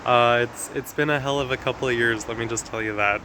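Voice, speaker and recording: A man speaks in a slightly raspy voice, worn down by allergies, chatting through a relaxed garden walkthrough. He explains each plant as he goes, filling gaps with "uh" and "like". Faint outdoor noise and the odd passing vehicle sit behind him. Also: mid-range voice, fairly quick. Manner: chatty, explanatory, with frequent fillers. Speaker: gardening vlogger.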